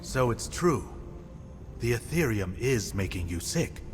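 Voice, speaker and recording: A man's voice: mid-range voice, very animated, a little slow. A man playing a blunt, challenging ally in a fantasy game, with a questioning manner. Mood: skeptical. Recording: long hall reverb, music behind.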